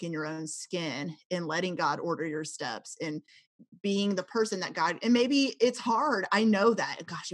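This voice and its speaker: A woman's voice: fairly low pitch, fairly bright tone, no echo. Reflective woman in her thirties. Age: 30s. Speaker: podcast co-host. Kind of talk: casual faith-based chat.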